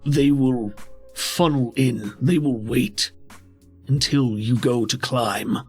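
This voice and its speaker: A man's voice: medium pitch, takes it slowly, husky, soft background music. A man voicing a terse, guarded cave dweller in a tabletop game, speaking in clipped, broken sentences. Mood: grave warning.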